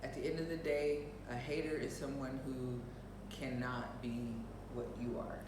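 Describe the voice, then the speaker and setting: A woman's voice: extremely low pitch, little pitch movement, measured pace. Echoey, distant, outspoken American woman. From United States. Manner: emphatic, outspoken, repeats phrases for emphasis. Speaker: show co-host. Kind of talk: casual opinionated chat. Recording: roomy echo, distant mic, faint noise.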